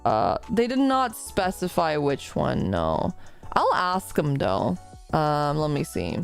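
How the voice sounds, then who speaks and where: A woman's voice: low-pitched, big pitch swings, slow, gravelly, faint music behind. A woman streaming live, chatting casually and reading out her chat, slightly congested from a recent illness and rambling in a self-deprecating way.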